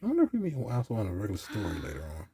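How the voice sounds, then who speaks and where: A man's voice: on the low side, wildly swooping pitch, very fast, crowd noise. A man giving casual, off-the-cuff reaction commentary as he follows a video game story.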